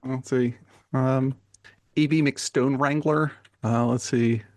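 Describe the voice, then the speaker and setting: A man's voice: mid-range voice, steady tone, slow pace, darkish tone, no echo, clean studio sound. A man chatting casually as a player in a tabletop game, droll and hesitant as he thinks aloud. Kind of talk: casual improvised game chat.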